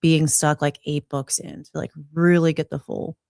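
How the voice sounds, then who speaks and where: Casual co-host, woman, low-pitched, dry sound, hesitant chatty delivery. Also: level tone, moderate pace, creaky, darkish tone, no room sound. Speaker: podcast co-host. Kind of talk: casual chat.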